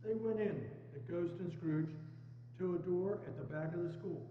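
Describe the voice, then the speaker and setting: A man's voice: high voice, normal speed. A man narrator giving a scripted dramatic reading of a story. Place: church, live staged reading. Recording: echoey church hall, dull sound, faint noise.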